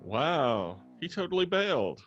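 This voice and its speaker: A man's voice: a little high, big pitch swings, unhurried, fairly bright tone, dull audio, soft background music. A man playing a sardonic, blunt young roommate in a comedy table read. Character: dry, sarcastic young male roommate, bluntly supportive.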